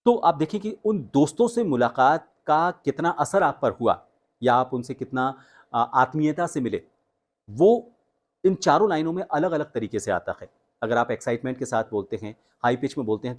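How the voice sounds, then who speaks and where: A man speaking as a voice coach, explaining techniques in an instructional way with deliberate emphasis on key words. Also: mid-range voice. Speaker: voice coach and host. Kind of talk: instructional tutorial with spoken demonstrations.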